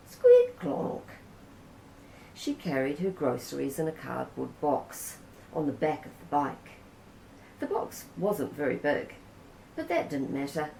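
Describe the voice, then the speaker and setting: A woman with a New Zealand accent reading a children's picture book aloud in a gentle, unhurried way. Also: low voice, vocal fry, slight background noise. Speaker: storybook reader. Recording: roomy echo.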